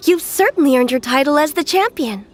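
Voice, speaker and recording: A woman's voice: sits high, expressive, a little fast, soft background music. A woman playing a friendly, supportive, lightly teasing companion in video game dialogue. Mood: congratulatory.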